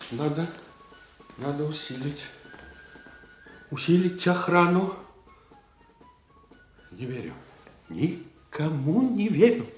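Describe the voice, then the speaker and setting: A paranoid Russian monarch in an echoing radio drama with music behind. He speaks slowly and brokenly, his voice swinging from brooding mutter to sharp suspicion. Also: on the high side. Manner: halting, muttering, brooding delivery. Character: suspicious, paranoid Russian emperor alone at night. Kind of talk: radio play acting. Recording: echoey room with music behind.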